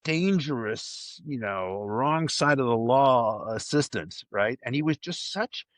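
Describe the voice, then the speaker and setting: A man's voice: medium pitch, expressive, slow pace, fairly bright tone, dry recording, clean studio sound. A man hosting a casual fan discussion, effusive and emphatic, often repeating words for emphasis. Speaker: podcast host.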